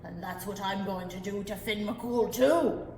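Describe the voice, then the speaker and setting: A woman's voice: mid-range voice, a little slow, dark tone, pitched below the performer's own voice, natural voice. A woman voicing a huge, gluttonous male giant who bellows and blusters, heard in a roomy hall with long reverb. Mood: menacing.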